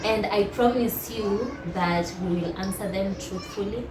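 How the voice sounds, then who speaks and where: A young woman, a native Swahili speaker, chats casually and laughs easily, stumbling and repeating words as she talks. She sounds some way from the mic in a reverberant room, with a steady layer of noise in the background. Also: mid-range voice, unhurried. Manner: warm, laughs often, repeats words while searching for them. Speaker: co-host. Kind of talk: casual chat. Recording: roomy, distant mic, noisy.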